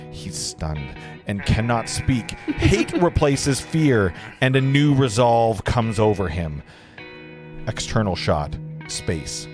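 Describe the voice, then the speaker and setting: A man reading screenplay scene directions aloud as the narrator of a table read. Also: lowish voice.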